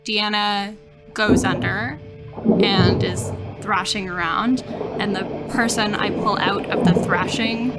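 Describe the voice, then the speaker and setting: A woman's voice: medium pitch, fairly even intonation, slow. A woman narrating an improvised tabletop role-playing game. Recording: music under the voice. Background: music.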